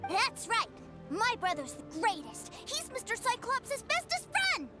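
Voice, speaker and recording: Eager, high-voiced little boy. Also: measured pace, over loud music, heavily acted. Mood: proud, eager. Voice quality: childlike. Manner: excitable, wide-eyed. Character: eager, innocent little boy adoring his big brother. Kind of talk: video game voice acting.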